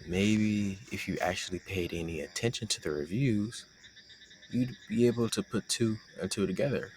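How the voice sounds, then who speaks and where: A man acts out a young male roommate with a low-pitched voice, bantering in a casual, teasing way. He speaks directly to the listener like a close friend hiding a crush, in a roleplay audio with slight background noise. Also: normal speed, darkish tone, lightly acted, poor audio. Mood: playful teasing. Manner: teasing, conversational, sarcastic banter.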